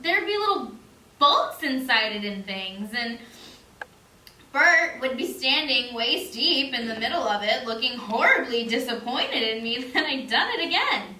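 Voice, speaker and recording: A woman's voice: fairly high pitch, sing-song, unhurried, sharp tone, heavily acted. A woman playing a rueful comic storyteller in a theatrical stage monologue. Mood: amused, rueful. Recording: echoey stage, distant mic, faint noise.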